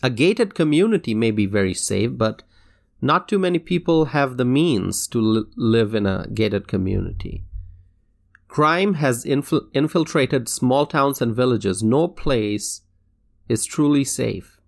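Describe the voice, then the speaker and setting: A man from Bangladesh hosting a solo talk show, speaking in an earnest, measured way with occasional restarts. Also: mid-range voice, animated, gravelly. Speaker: podcast host. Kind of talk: reflective solo commentary.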